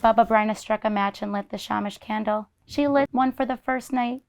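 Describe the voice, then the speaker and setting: A woman's voice: a little high, a little fast. A woman teacher narrating a children's story expressively, explaining it in simple asides as she goes. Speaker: teacher reading aloud.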